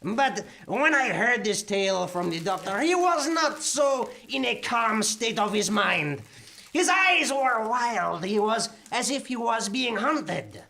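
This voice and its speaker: An actor playing a haunted man who gravely passes a curse on to his listener. The delivery is slow and deliberate, and the tone is bright and sharp. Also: mid-range voice, expressive, heavily acted. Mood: ominous. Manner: grave, dramatic storytelling. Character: haunted male teller of the tale, grim.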